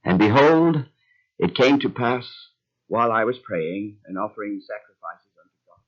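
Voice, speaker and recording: An actor playing an earnest, devout young husband who speaks in a measured way in an old-time radio drama. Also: male voice, on the low side, animated. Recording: muffled lo-fi old recording.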